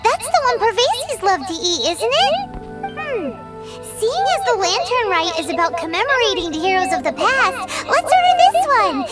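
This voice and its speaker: Tiny squeaky fairy sidekick, food-obsessed, swoops all over, game music blasting. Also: female voice, normal speed. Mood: eager. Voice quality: high, squeaky and excitable. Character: small bubbly fairy companion, food-loving. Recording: game audio with loud music behind.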